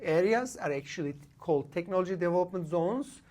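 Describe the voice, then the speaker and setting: This is a man in his late forties who grew up in Turkey and whose first language is Kurdish. He is a guest in a relaxed podcast interview in a studio. He speaks in short, halting bursts, filling the gaps with "uh" and restarting sentences as he goes. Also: sits high. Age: late 40s. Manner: talks in short bursts with frequent "uh" pauses and restarts. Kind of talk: casual interview.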